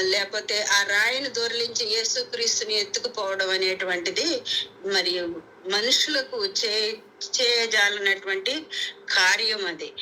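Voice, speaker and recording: A woman's voice: medium pitch, bright, forceful tone. A woman from India preaching a Bible teaching in an explanatory way, repeating points for emphasis. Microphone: conference call. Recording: noisy prayer conference call audio.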